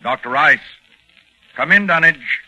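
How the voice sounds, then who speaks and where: An actor playing a formal suspense show announcer in a clipped 1940s radio style. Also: male voice, on the high side, animated, measured pace, pushed and bright, heavily acted, poor audio. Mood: concerned, urgent.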